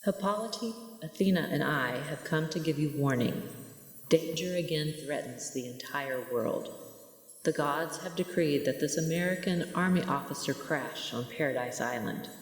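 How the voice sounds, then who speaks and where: A woman's voice: low voice, normal speed, faint music behind, close to an everyday voice. A woman playing a solemn, portentous goddess spirit in 1940s radio serial style, her voice drenched in echo. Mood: solemn, urgent. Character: solemn Greek goddess spirit, warning and decreeing. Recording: very echoey, long hall reverb.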